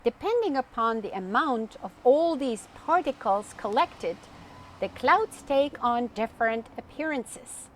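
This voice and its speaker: A woman voicing a kindly, knowledgeable storybook uncle who explains things patiently and deliberately. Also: fairly high pitch, animated, a little hiss or hum. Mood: patient, instructive.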